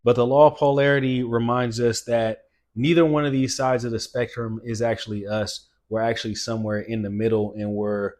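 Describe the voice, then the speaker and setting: A man's voice: mid-range voice, darkish tone. Earnest motivational man in his late thirties. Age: late 30s. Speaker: fitness channel host. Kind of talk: motivational talk to camera.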